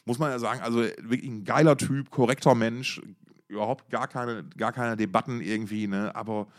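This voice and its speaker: A man's voice: medium pitch, steady tone, a little fast. A man co-hosting a podcast in casual, slangy, enthusiastic music talk, often repeating words as he searches for phrasing. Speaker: podcast co-host.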